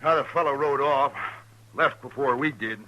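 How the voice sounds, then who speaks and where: A man's voice: mid-range voice, moderate pace, muffled recording, close to an everyday voice. Piercing, rustic stagecoach driver played by a man. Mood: shaken, indignant. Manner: rustic, emphatic. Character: shaken, indignant frontier stagecoach driver. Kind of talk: 1950s radio drama acting. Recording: bright 1950s radio recording.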